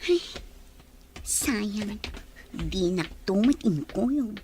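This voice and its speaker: A woman's voice: medium pitch, expressive, very slow, warm tone, a little hiss or hum. A woman acting a coy, lovestruck, wistful office secretary in an Ilocano audio drama.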